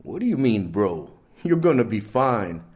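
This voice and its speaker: A man voicing a loyal teenage friend who speaks nervously in halting chunks. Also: lowish voice, very animated, darkish tone.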